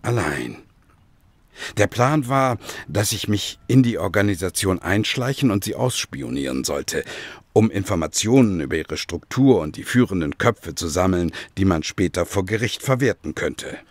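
An actor playing a grave former detective who recounts his past in a measured, dramatic way in a German radio play. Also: low voice, expressive, dry recording. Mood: grave, ominous.

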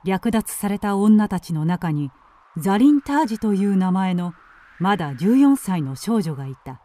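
A woman's voice: medium pitch, sing-song, normal speed, mellow. A woman reading scripted narration for a radio drama.

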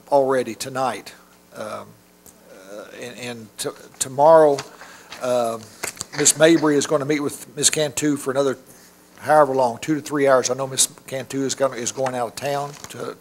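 A middle-aged man speaking at a school board meeting, informal and halting with many restarts. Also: medium pitch, fairly even intonation, a little hiss or hum. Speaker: state monitor addressing a school board. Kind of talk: public meeting remarks. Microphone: podium microphone.

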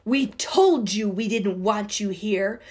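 A woman's voice: medium pitch, takes it slowly, darkish tone, husky. A woman doing taunting bully kids. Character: nasty bullying children.

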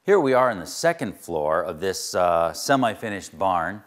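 A man's voice: low voice, very animated, moderate pace. A middle-aged man leading an explanatory on-site tour as a home energy contractor. He talks in an earnest, plain-spoken way, with frequent "uh" fillers. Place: indoors in a wood-walled barn and its concrete basement.

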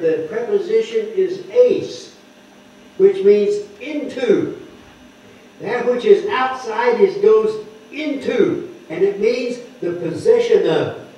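An older man preaching at a lectern, explaining scripture word by word and stressing key words loudly. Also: high voice, recorded from a distance. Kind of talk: sermon teaching scripture. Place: wood-paneled church hall, speaking at a lectern. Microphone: microphone on the lectern, heard from a distant camera. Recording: echoey hall heard from afar, dull.

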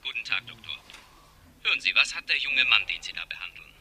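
An actor playing a grumbling, flustered city police commissioner in a 1960s radio crime play, heard through a telephone. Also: male voice, mid-range voice, sing-song, quick pace. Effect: telephone filter. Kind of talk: 1960s German-language radio crime drama acting. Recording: thin telephone sound, faint noise.

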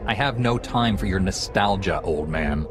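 A man's voice: sits low, expressive, moderate pace, over music. A man playing a stern, defiant commander in a video game, curt and scornful. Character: male heroic commander, stern, defiant, unimpressed.

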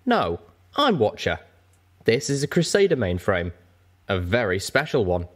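A man's voice: fairly low pitch, very animated, a little slow. Man voicing a grave, guarded old dragon. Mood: explaining, solemn. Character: huge, old, lonely, guarded male dragon. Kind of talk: audiobook character voice.

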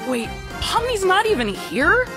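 Grumpy toy creature, slow delivery. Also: female voice, very high-pitched, very animated, exaggerated voice. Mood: confused annoyance. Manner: sighing, curt, dry. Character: grumpy, irritable mismatched toy creature, fed up. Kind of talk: animated cartoon acting. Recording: very loud music behind voice.

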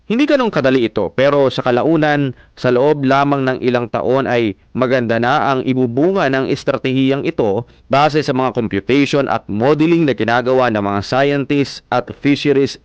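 A man's voice: medium pitch, smooth, no room sound, lo-fi sound, close to an everyday voice. An actor playing an earnest fisherman, narrating his own story in a scripted, explanatory way. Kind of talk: scripted first-person narration.

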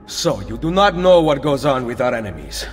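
A man's voice: medium pitch, normal speed, in a large hall, faint music behind, close to an everyday voice. A man playing a wry, confident assassin. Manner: calm, wry, understated. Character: confident Italian male assassin, dry wit. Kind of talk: video game cutscene dialogue.

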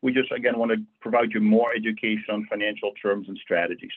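Guy teaching a banking webinar, husky voice, sounds like a phone line. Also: lowish voice, fairly even intonation, fast talker. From Netherlands. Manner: friendly, conversational with frequent fillers. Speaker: bank financial educator. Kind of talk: webinar presentation. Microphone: video call. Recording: tinny phone-like audio.

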